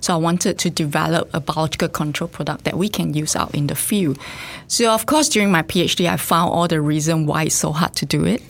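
A woman's voice: low voice, a little fast, gravelly. A middle-aged woman from Singapore, a scientist and facility director, chatting thoughtfully in an interview with frequent fillers and restarts. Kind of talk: casual interview chat.

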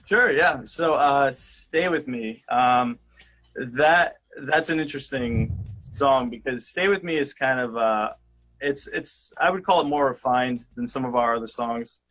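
A man's voice: medium pitch, unhurried, low-quality recording. Young man chatting loosely, full of fillers. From southeastern Massachusetts. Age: young adult. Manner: relaxed, hesitant, many "kinda" and "uh". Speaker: band member guest. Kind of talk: casual interview chat.

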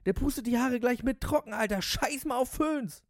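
A man voicing an ecstatic, loudmouthed male taxi driver who brags in slangy exclamations, in a storybook reading. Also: very high voice, moderate pace, dry recording, studio quality, voice pushed up. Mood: ecstatic bragging. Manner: slangy, bragging, shouting exclamations.

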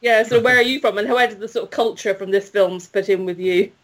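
A woman's voice: medium pitch, brisk. Chatty woman on a film podcast. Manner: enthusiastic, laughing, hesitant with fillers. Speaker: festival jury member. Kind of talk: casual film discussion.

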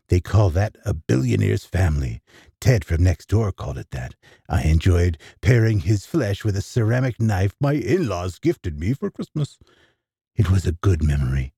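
Gravelly male horror narrator, very deep and dark-toned; theatrical, close and dry. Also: no echo. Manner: theatrical, with sudden gasps and hushed asides. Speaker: storyteller. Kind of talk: dramatic first-person horror narration.

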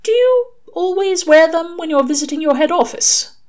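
A woman's voice: extremely high pitch, animated, moderate pace, darkish tone, voice pushed up. A woman voicing a prim, earnest male angel in an audiobook, with formal, fussy and hesitant phrasing. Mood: fussy, curious. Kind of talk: audiobook character dialogue.

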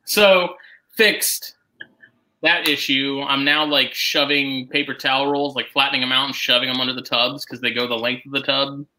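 Bright-voiced young man telling rambling stories. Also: mid-range voice, fairly even intonation, normal speed, slight background noise, poor audio. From United States. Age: 20s. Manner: joking, rambling storyteller. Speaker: podcast co-host, snake keeper. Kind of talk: casual podcast banter.

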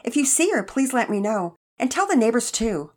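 A woman voicing a sweet, frail elderly man in a story, with a gentle, folksy, rambling manner. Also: a little high, very animated, normal speed, pitched above the performer's own voice. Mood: worried, sad. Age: older. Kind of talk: acted character dialogue in children's story narration.

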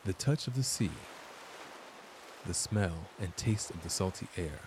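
A man narrating a scripted guided meditation as its host, deliberate and soothing, leaving long pauses between short phrases. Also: sits low, lively intonation, background noise.

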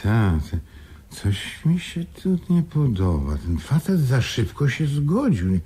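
A man acting in a Polish radio play as a suspicious, decisive businessman with a brisk, insistent manner. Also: medium pitch, big pitch swings, warm tone, breathy edge, exaggerated voice. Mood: suspicious, urgent.

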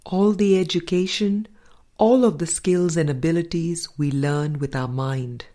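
A low-voiced woman reads a spiritual audiobook at an unhurried pace. Her phrasing is deliberate and measured, with frequent pauses between short phrases. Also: dark tone. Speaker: audiobook reader. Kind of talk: scripted spiritual audiobook narration.

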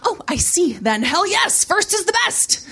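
A woman's voice: a little high, very animated, moderate pace. A woman voicing a brash, cocky young female stand-up comic with a sassy, exclamatory delivery in a story reading. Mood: eager. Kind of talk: first-person comic fiction reading.

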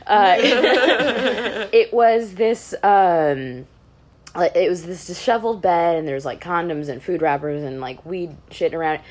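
A woman chatting casually as a podcast co-host, telling stories in an informal, wry and animated way. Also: on the low side, unhurried. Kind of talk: casual conversational storytelling.

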